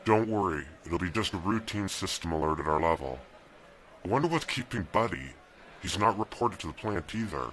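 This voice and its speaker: An actor playing a newlywed young man planning an escape from a dystopian regime, talking calmly and giving steady instructions in an amateur radio drama. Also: sits low, a little fast, slight background noise. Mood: worried.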